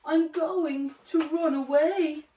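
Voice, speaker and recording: A woman voicing a gentle, forlorn young male dinosaur in a children's storybook, crying out plaintively. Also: high-pitched, fairly even intonation, slow, voice pushed up. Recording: roomy, echoey, distant microphone.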